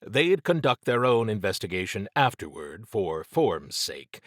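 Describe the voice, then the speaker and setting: A man's voice: fairly low pitch, very animated, a little slow, fairly bright tone, no echo, studio quality. A man narrating scripted fiction as a dramatic audiobook reader.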